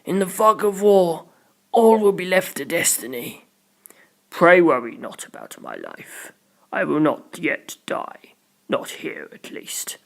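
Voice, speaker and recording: A calm, fatalistic male zealot, as an audiobook narrator puts him on. He is solemn and grandiose, drawing words out and sinking into near whispers. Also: medium pitch, slow, vocal fry, heavily acted. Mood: serene, ominous.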